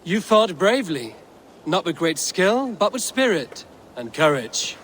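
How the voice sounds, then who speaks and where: Commanding Viking warrior, noisy backdrop. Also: male voice, high voice, very animated, unhurried, fairly bright tone, heavily acted. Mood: firm, encouraging. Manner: commanding, challenging. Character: tough Viking warrior leader, goading yet honorable mentor. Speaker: video game character. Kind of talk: dramatic video game acting. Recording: clearly noisy game background ambience.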